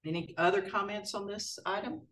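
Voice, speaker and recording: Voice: medium pitch, fairly quick, slight background noise. Chair of a small civic board, casual folksy delivery, picked up at a distance in a meeting room. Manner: folksy, relaxed, hesitant with many "uh" fillers. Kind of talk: formal meeting procedure mixed with casual remarks. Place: city council chamber.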